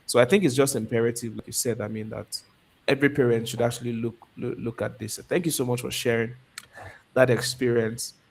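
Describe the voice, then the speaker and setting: A man's voice: mid-range voice, level tone, brisk, creaky, a little hiss or hum. A man with an ordinary mid-range voice hosts a live online interview. He repeats words and restarts his sentences as he asks his questions. The sound comes over a video call. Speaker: host of a live stream. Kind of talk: interviewing a guest.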